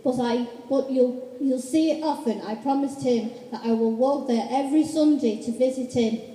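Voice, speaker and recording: A gentle, devoted father and humble clerk is acted by a woman in a radio drama. The voice is high and mellow, ringing in an echoey hall. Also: normal speed, lightly acted. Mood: grieving. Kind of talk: amateur stage radio play acting. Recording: echoey hall with long reverb.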